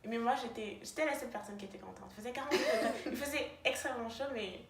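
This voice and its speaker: Chatty young woman, high voice, echoey room. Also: fast, vocal fry, crowd noise. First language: neither French nor English. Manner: chatty, many fillers and restarts. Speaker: roommate answering questions. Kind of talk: casual chat.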